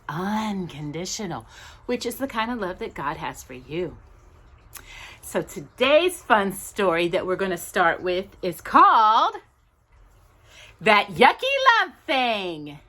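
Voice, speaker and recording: A woman hosting a children's storytime, reading a picture book with playful, drawn-out emphasis and chatty asides to a companion. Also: on the high side, sharp tone. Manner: addresses a companion directly while reading.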